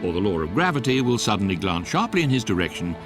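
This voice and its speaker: An actor voicing a wry electronic guidebook, narrating a comic science fiction radio drama in a measured, deadpan way. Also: male voice, lowish voice, music in the background. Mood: wry, instructive.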